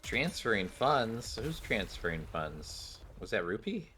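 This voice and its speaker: A man's voice: on the low side, very animated, a little slow, sharp tone, faint music behind. Man reading and commenting on a game. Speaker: game streamer reading out cutscene dialogue.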